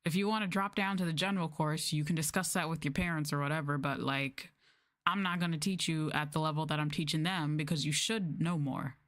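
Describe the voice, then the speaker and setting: A woman's voice: low voice, fairly even intonation, a little fast, a bit bright. A woman chatting casually on a livestream, telling stories in informal, slangy language with an exasperated manner. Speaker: livestreamer and former English teacher.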